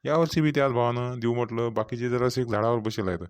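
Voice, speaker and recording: A man's voice: medium pitch, fast, clean tone, natural voice. A man voicing a talkative village farmer with a rural Vidarbha Marathi accent in cartoon comedy dialogue, rambling and repetitive. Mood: businesslike, chatty. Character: talkative male village farmer chairing a local meeting.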